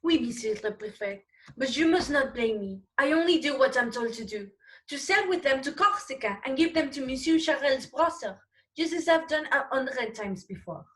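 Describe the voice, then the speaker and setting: A woman's voice: high voice, lively intonation, moderate pace, fairly bright tone, poor audio. A woman playing an indignant male sailor from Corsica in a 1940s-style radio play, protesting emphatically. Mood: indignant, pleading.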